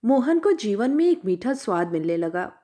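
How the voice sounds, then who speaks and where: A woman narrating a literary short story as an expressive storyteller. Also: mid-range voice, moderate pace.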